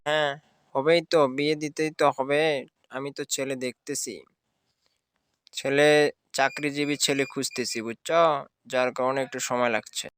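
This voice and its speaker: A man's voice: medium pitch, level tone, normal speed, long pauses, fairly bright tone, clear, resonant tone, no echo, lightly acted. A man voicing a practical middle-aged village father in a cartoon. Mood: matter-of-fact. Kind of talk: cartoon dialogue.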